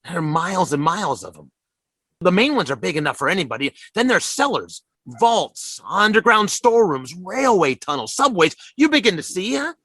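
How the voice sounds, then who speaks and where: A man's voice: sits high, big pitch swings, normal speed, pushed and bright, breathy edge, no echo, heavily acted. An actor playing a ragged militia survivor who rambles and stammers through his scheme in a radio drama. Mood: scheming.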